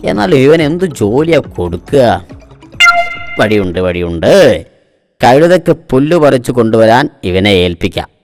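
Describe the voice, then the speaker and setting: A man's voice: mid-range voice, very animated, moderate pace, pauses often. A man voicing a shrewd middle-aged boss in a cartoon, talking in a bossy, scheming way. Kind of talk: cartoon voice acting. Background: background music.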